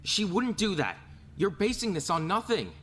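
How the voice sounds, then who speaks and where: An actor voicing a skeptical, protective young male student in an animated murder mystery. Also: mid-range voice, normal speed, a little hiss or hum. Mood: protesting.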